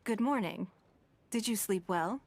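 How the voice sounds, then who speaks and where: A woman voicing a poised, shrewd, wealthy businesswoman in a video game, speaking in a calm, measured, elegant way. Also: mid-range voice, no room sound. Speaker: game character.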